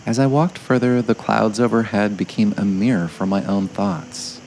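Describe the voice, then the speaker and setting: A man narrating a scripted guided meditation in a gentle, measured way, with frequent pauses. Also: fairly low pitch, level tone, slight background noise, rough recording.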